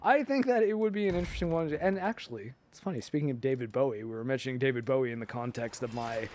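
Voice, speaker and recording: A man's voice: mid-range voice, fast talker, a little hiss or hum. A man hosting a livestream, chatting casually in a rambling, joking way and sometimes trailing off into muttered asides. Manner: animated, joking, often breaking into chuckles. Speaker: livestream host. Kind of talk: casual rambling chat to stream viewers.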